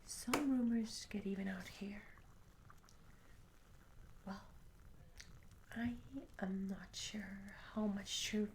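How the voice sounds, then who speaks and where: Slow, whispering tavern maid, acted by a woman. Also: mid-range voice, steady tone. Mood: hushed, curious. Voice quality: soft, breathy whisper. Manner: gentle, hesitant, courteous, old-fashioned phrasing. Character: curious, polite, humble medieval tavern maid. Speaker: ASMR roleplay performer. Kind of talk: ASMR roleplay, soft-spoken whispering. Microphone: close ASMR microphone. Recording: close whisper over audible ambience noise. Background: candlelit tavern ambience.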